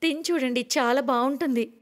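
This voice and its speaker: An eager, naive young bride from a storybook, played by a woman, speaks fairly high. Long reverb surrounds her. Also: a little fast, close to an everyday voice. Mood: innocently eager. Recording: long reverberant hall echo.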